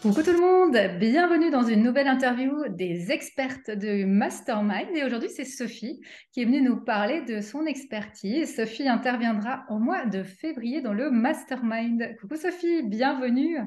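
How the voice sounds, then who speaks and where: A woman's voice: a little high, expressive, moderate pace, darkish tone, faint music behind. A middle-aged woman hosting a casual interview, speaking with many fillers and restarts. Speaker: interview host and coach.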